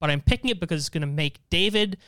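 A man co-hosting a podcast, casually explaining and bantering, often repeating words in quick bursts. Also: on the high side, expressive, fairly bright tone. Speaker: podcast co-host running the game.

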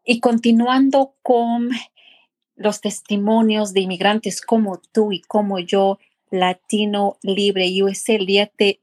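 A woman hosting a podcast, asking questions in a chatty way with frequent restarts. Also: medium pitch. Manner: warm-up questions with many restarts and fillers. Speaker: podcast host. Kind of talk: casual interview.

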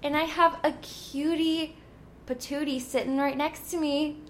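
A woman's voice: high voice, steady tone, unhurried, slight background noise. A young woman in her twenties hosting a casual podcast, giggly and teasing, close to the mic. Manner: bubbly, giggly, teasing banter. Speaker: podcast host. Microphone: close podcast mic, loud.

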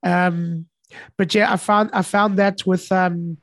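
A man's voice: high voice, steady tone, takes it slowly, fairly bright tone, dry recording. A man from South Africa hosting an interview, chatting casually with frequent stammered restarts. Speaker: podcast host.

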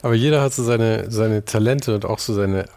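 A man's voice: on the low side, brisk, darkish tone. A man hosting a casual interview, musing aloud and hedging his remarks. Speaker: host.

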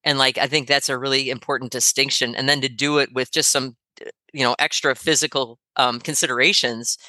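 A woman's voice: very deep voice, fairly even intonation, brisk, bright, forceful tone, no room sound. A middle-aged woman, a yoga teacher co-hosting a podcast, speaking in an encouraging and thoughtful way with frequent fillers. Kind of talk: casual podcast chat.

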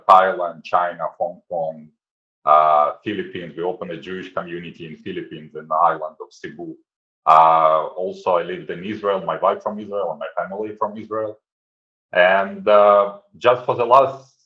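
Middle aged guy w ukrainian accent, really low voice, lots of uhs, kinda muffled sound. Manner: earnest, many "uh" pauses, non-native grammar. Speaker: guest, website founder. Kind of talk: casual interview answers.

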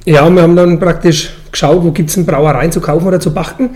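Fast-talking Franconian man, mellow. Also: a little high, steady tone. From Franconian Switzerland, Bavaria, Germany. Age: 40s. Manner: colloquial dialect speech. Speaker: brewmaster and brewery owner, interviewee. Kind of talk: casual interview, explaining.

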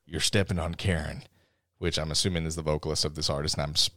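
A man's voice: very deep voice, fairly even intonation, fast, bright, forceful tone, no echo, studio quality. A man co-hosting a podcast, chatting casually about a movie, sarcastic and jokey, often acting out lines from it. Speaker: podcast co-host. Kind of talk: casual movie review chat.

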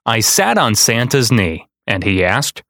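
Slow, animated male storyteller. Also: mid-range voice, dry recording, studio quality. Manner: deliberate, dramatic storytelling delivery. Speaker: storyteller and podcast host. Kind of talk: expressive children's picture-book reading.

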